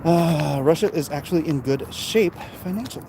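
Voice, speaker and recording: American man, slightly high voice, casual opinion rant, colloquial, emphatic, outdoor recording with faint traffic noise. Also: normal speed. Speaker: live-stream vlogger reading and answering viewer comments. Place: outdoors, in his backyard. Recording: noisy outdoor urban ambience, traffic sounds.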